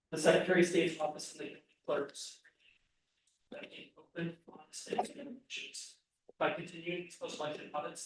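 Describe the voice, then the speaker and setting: A man presenting election audit results at a public meeting, reading figures haltingly with many ums and restarts. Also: on the high side, a little hiss or hum. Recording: very echoey room, picked up from far off.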